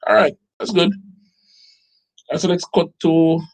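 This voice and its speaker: A man with a Jamaican accent hosting an online show, reading a government budget aloud and breaking off into incredulous, animated remarks to his viewers. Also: on the high side, unhurried, gravelly, low-quality recording. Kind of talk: commentary reading out a document.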